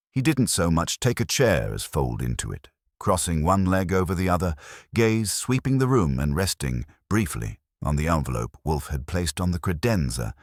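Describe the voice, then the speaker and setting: A man reads detective fiction in a very low, husky voice with a measured delivery. His pitch moves in lively swings through scripted, first-person narration, and the sound is dry and close. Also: no echo. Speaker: narrator of a detective mystery story.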